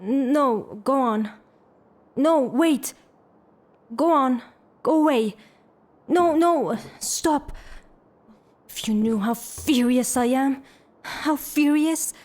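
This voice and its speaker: A woman's voice: sits high, very slow, pauses often, darkish tone. An actress playing a proud, hot-tempered lady of the house in an audio drama, imperious and theatrical. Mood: furious, wavering. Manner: imperious, theatrical, repeats commands.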